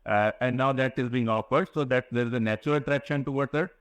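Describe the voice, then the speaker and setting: A man's voice: mid-range voice, fast, smooth, no echo. Male executive, Indian accent, mid-range voice, formal and wordy, answering on an earnings call, dry sound. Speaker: insurance company executive.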